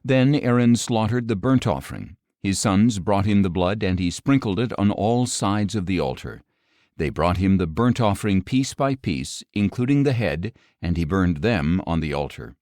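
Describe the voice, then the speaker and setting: A man with a fairly low voice narrates scripture in a scripted audiobook style. His reading is measured and solemn. The sound is close and dry, with very little room around the voice. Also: expressive, darkish tone, no echo.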